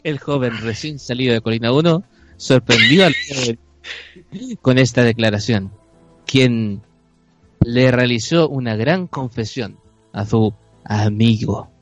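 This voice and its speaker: A man's voice: medium pitch, a little slow, pauses often, muffled recording, soft background music. A man with a Chilean accent narrating an improvised radio play in a mock-serious storytelling style.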